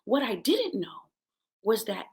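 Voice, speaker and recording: Woman, high voice, creaky edges, motivational self-help host, emphatic storyteller, from Jamaica. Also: slow. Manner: emphatic, expressive, repeats key words for effect. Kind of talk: motivational talk with personal storytelling.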